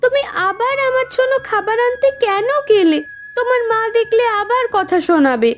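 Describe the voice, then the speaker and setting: A very high, plaintive cartoon voice from a woman playing a gentle young new bride. She pleads softly and sounds easily hurt, with music behind her. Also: animated, moderate pace. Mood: tearful, hurt. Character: young new daughter-in-law, gentle, hurt, eager to please. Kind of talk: Bengali cartoon dialogue acting. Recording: background music under voice.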